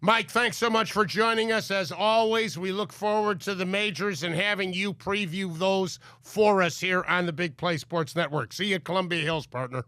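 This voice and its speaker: A man hosting a sports talk show, congested from a cold, talking in an energetic, emphatic way. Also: high voice, moderate pace, few pauses, dry recording, studio quality. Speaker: sports radio host.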